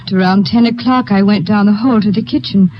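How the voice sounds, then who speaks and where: A woman's voice: medium pitch, a little fast, lightly acted. An actress playing a young Polish woman secretary, speaking in a guarded, formal manner in a vintage radio drama. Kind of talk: 1950s radio drama acting. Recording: dull lo-fi vintage radio recording.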